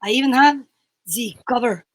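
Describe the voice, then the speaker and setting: A woman with a very high voice hosts a homemade show. Her talk is informal and chatty, as if among friends. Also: moderate pace, slight background noise. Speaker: host. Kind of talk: casual chat on a homemade show. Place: home basement studio. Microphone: studio microphone.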